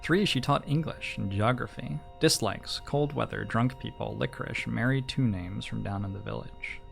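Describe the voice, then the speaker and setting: A man reading the text of a story game aloud in measured phrases, as a streamer narrating. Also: mid-range voice, gravelly, faint music behind.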